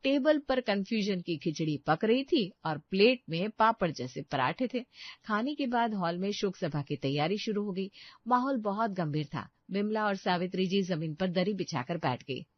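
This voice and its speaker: A woman's voice: medium pitch, lively intonation, moderate pace, dry recording, dull audio. A woman narrating a scripted story.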